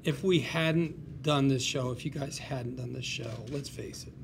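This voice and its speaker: Gravelly man, casual remarks, noisy room. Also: mid-range voice, steady tone, a little slow. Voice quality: gravelly, creaky. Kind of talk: casual, joking remarks to a group. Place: room with a group at a table read. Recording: clear room noise.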